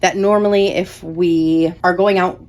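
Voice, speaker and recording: A woman's voice: on the low side, steady tone, measured pace, darkish tone. A young woman talking casually to her viewers, a little stuffy and out of breath from a cold, upbeat and chatty. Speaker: vlogger. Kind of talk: casual show-and-tell vlog.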